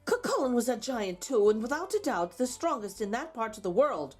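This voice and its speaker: A woman reading a children's story aloud in an expressive way with dramatic emphasis. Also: on the high side, brisk, soft background music. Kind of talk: expressive read-aloud for children with chatty asides.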